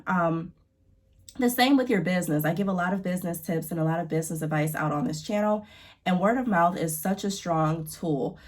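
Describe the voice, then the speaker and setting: A woman's voice: fairly low pitch, fairly quick. A young woman vlogger with a congested, stuffy voice, giving chatty and encouraging business advice to camera with lots of fillers. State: congested from allergies. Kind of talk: casual advice talk to camera.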